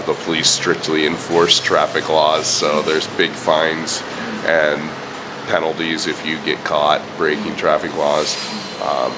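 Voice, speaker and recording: A man's voice: very deep voice, background noise. A man who teaches English, giving matter-of-fact answers in a street interview. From United States.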